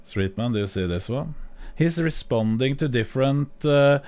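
A man in his thirties with a Norwegian accent, chatting slowly and hesitantly on a live stream from his home setup, with plenty of fillers and restarts. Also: medium pitch, animated, a little mellow. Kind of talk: casual live-stream chat. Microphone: studio mic on boom arm, headphones.

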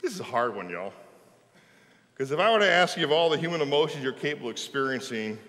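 Bright-voiced pastor preaching. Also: male voice, mid-range voice, quick pace. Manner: animated preaching, folksy with "y'all", gestures while speaking. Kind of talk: sermon. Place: large church sanctuary, at a pulpit. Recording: long church reverb.

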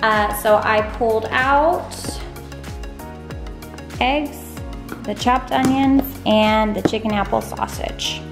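A woman's voice: fairly high pitch, takes it slowly, pauses often. A woman in her thirties chatting casually in an upbeat, homey way while she cooks in her home kitchen, filmed by a camera on the counter. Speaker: fitness vlogger. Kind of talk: casual cooking vlog. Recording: echoey kitchen with background music.

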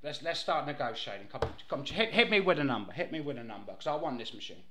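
A man's voice: mid-range voice, expressive, fast, pushed and bright, slight background noise. An English man making a haggling prank call, rambling pushily and repeating his phrases. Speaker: prank caller posing as a fruit machine dealer.

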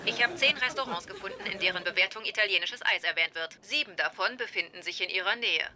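A woman's voice: mid-range voice, quick pace, a loud crowd, close to an everyday voice. Lady doing a polite phone assistant voice, formal and literal, really noisy sitcom audio. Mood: polite, obliging. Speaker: voice actor in a sitcom dub. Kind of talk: German-dubbed sitcom dialogue. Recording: noisy sitcom soundtrack with clicks.

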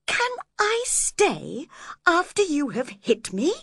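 A woman voicing a polite, sensitive young gentleman from a storybook, earnest in manner. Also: sits high, huge pitch swings, slow, no room sound, studio quality, pitched above the performer's own voice, full character voice. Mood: wounded.

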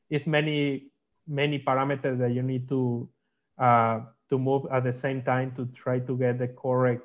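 A man explaining technical slides in halting, on a video call. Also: mid-range voice. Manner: halting, non-native English phrasing. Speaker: guest, magnetics consultant. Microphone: video call microphone. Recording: dull lo-fi online call.

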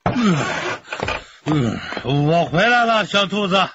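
Casting: a drunk, threatening villager, high and sharp, drawling slowly, dull lo-fi radio sound. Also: male voice, big pitch swings, noisy background, full character voice. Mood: drunk, menacing. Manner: slurred, drunken bellowing. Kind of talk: radio drama acting. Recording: dull lo-fi radio play audio.

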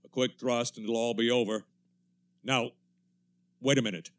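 Confident male army captain played by an actor, clipped field reports, medium pitch, old-time radio drama. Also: fairly even intonation, normal speed, a bit bright, lightly acted. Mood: brisk confidence. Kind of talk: 1930s radio drama acting.

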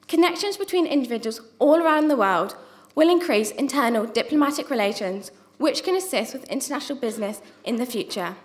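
A young woman student, not from New Zealand, giving an earnest prepared speech from notes in a university lecture theatre into a stand microphone, with a few small restarts. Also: on the high side. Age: young adult. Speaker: student presenter.

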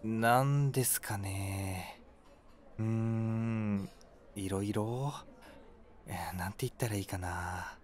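Slow-talking, clueless awkward man. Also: lowish voice, darkish tone. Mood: vague, awkward. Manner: hesitant, noncommittal, trailing off. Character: vague, clueless, socially awkward man on a date. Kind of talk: comedy audio drama dialogue.

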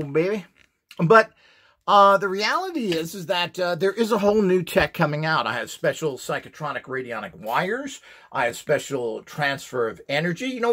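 A middle-aged man demonstrating devices on a tabletop, talking in an opinionated, dismissive, boastful way. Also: fairly high pitch. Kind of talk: casual product demonstration. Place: indoor desk, hands-on table demo.

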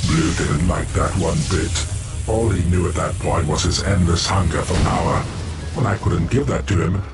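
A man's voice: low voice, natural voice. A man voicing a grave, guilt-ridden mad scientist creature in a cartoon, with dramatic, formal delivery. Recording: music behind the voice.

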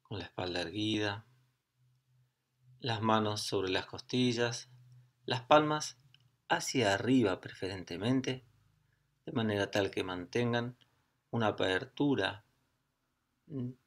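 A middle-aged man guiding a meditation as a teacher, speaking calmly in short phrases with long pauses. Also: fairly low pitch. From Argentina. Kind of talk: guided meditation.

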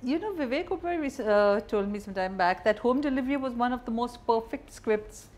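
Higher-pitched Indian woman interviewer. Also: expressive, brisk, darkish tone. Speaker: interview host. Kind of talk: conversational interviewing. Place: indoor wood-panelled bar lounge set.

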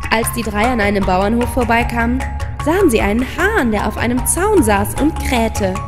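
A woman's voice: a little high, expressive, normal speed. A woman narrating a scripted fairy tale for children as the storyteller. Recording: long reverb under prominent music.

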